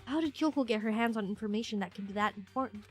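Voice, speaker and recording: A woman streaming a first playthrough of a video game, her nose stuffy and congested. She reacts excitably, gasping and laughing often. Her voice sits fairly high, with faint music in the background. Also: fast, husky. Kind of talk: casual gameplay commentary.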